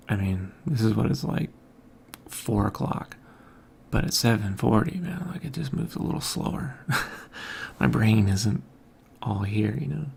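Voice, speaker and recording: A man hosting his own podcast, talking casually and softly in a quiet, mumbling way from a home room with an overhead mic. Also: mid-range voice, creaky, slight background noise. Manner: quiet, soft-spoken, mumbling. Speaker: podcast host. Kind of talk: casual morning monologue. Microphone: hanging overhead mic.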